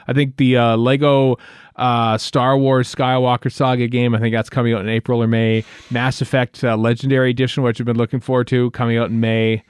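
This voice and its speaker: A man talks casually as a podcast co-host, rambling and thinking out loud. His voice sits at an average pitch and is recorded very dry, with little room sound. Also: little pitch movement, brisk, clean tone, no echo. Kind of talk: casual chat.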